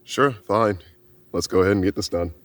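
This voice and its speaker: A man's voice: lowish voice, normal speed, fairly bright tone. A man playing in a tabletop roleplay podcast, talking casually and speaking for his character.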